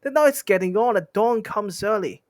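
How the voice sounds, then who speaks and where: Storybook troll, played by a male reader: squeaky, pitched well up, dim, stubborn and quarrelsome, with rustic grammar. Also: normal speed, no room sound, clean studio sound, natural voice. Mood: bossy, irritated. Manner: rustic ungrammatical speech, blunt.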